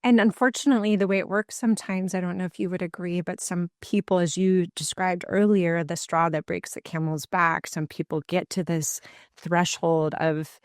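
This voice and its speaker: A woman's voice: fairly low pitch, steady tone, normal speed, no echo, studio quality. A woman hosting an interview podcast, who asks long, reflective, winding questions. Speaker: podcast host.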